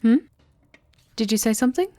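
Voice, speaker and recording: A woman playing a shrewd, composed enchantress and royal adviser in a fantasy ASMR roleplay, with formal, deliberate, confiding delivery. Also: on the high side, expressive, dark tone, no echo, natural voice. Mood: quietly insinuating.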